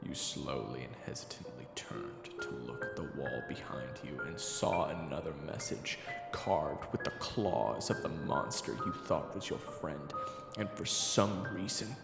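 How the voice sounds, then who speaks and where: A man narrating a dark fantasy audio story as an ominous storyteller, with dramatic, suspenseful delivery. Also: rumbling low, a little slow, gravelly, loud music behind the voice, exaggerated voice. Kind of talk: scripted second-person story narration. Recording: echoey, long reverb.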